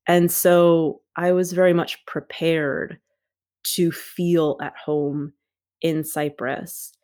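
A woman author being interviewed, speaking in a thoughtful, measured way with frequent pauses. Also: sits low, level tone, darkish tone. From United States. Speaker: author guest. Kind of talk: reflective interview talk.

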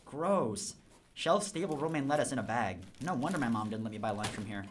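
Man as nerdy teen boy, medium pitch, earnest, grandiose, wordy, radio play, noisy clicky audio. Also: animated, a little fast, close to an everyday voice. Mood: matter-of-fact. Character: earnest, nerdy teenage boy zombie enthusiast. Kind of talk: audio drama acting.

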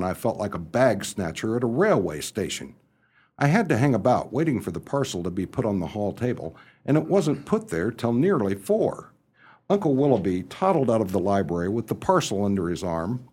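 Radio comedy lead: male actor as breezy young upper-class gent, easily rattled, swooping pitch, slightly husky. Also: darkish tone. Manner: breezy, slangy, exclamatory. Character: excitable, rattled young upper-class English gentleman narrator. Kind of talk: first-person radio comedy narration and dialogue.

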